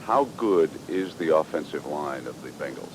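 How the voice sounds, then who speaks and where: A man's voice: lively intonation, normal speed. A man working as a radio sports commentator, asking questions and offering opinions in a conversational pregame interview. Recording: noisy old radio broadcast, rumble.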